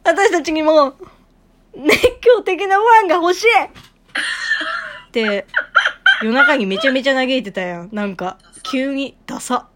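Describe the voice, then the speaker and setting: Lively high-voiced woman chatting. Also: measured pace, pauses often, slight background noise. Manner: expressive, animated storytelling. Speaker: radio talk co-host. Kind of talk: casual chat and anecdotes.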